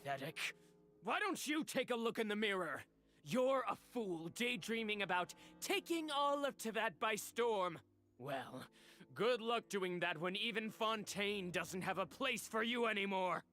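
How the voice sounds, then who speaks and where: A man's voice: high voice, big pitch swings, measured pace, sharp tone, faint music behind, heavily acted. An actor playing a bitter, scornful male rival designer in a game, sneering and mocking. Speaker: game character.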